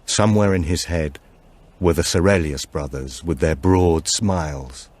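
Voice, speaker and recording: A man reading a supernatural thriller as an audiobook narrator, in a measured, deliberate storytelling manner. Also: sits low, darkish tone.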